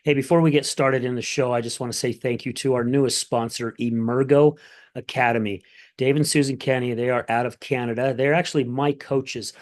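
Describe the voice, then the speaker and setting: A man hosting a recovery podcast, reading a sponsor message and introducing his guest in a friendly, earnest, conversational way. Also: medium pitch. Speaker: podcast host.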